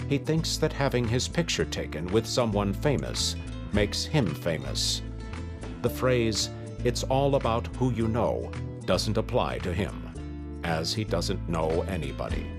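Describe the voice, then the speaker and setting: A man giving a mock-serious, deadpan sponsor parody as a sketch announcer. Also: on the low side.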